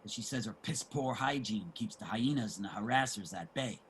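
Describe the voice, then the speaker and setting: A man playing a streetwise homeless man in a play reading, generous and opinionated, with salty, emphatic street talk and a husky voice. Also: on the low side, animated, fairly quick, fairly bright tone, slight background noise. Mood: scolding, gossipy. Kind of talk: dramatic table reading of a stage play.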